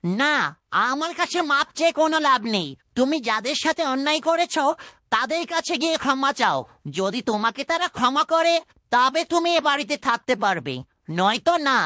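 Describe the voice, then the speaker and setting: A woman's voice: sits high, animated, moderate pace, bright, forceful tone, no room sound, studio quality. A woman voicing a stern, reproachful father and head of household in a fairytale cartoon. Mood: furious, commanding. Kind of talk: children's fairytale cartoon dubbing.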